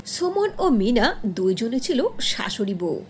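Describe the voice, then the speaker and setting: Woman narrating cartoon story, really animated intonation, bit of noise behind her. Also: on the high side, takes it slowly.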